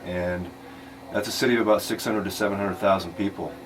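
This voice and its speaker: A man's voice: deep voice, fairly even intonation, brisk. A man giving information as a charity volunteer in a radio interview. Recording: faintly noisy radio interview recording.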